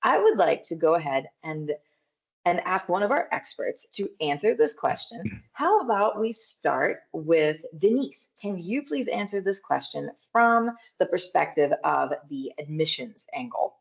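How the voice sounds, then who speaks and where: A woman moderating an online school open house, guiding the audience step by step in a lively way over video call. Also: on the low side, a little slow, vocal fry. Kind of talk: upbeat event hosting. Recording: dull lo-fi video-call audio.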